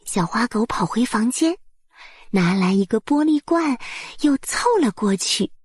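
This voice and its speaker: A woman narrating a bedtime story for small children in a soft, breathy voice, with gentle, soothing and careful phrasing. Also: fairly high pitch, lively intonation, a little slow, no room sound, studio quality.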